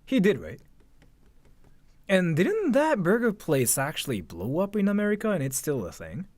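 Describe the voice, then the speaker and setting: A man's voice: high-pitched, huge pitch swings, normal speed, darkish tone, dry recording. A young man reacting casually to a video on a livestream, using a lot of slang and hesitantly repeating himself. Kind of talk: casual live reaction commentary.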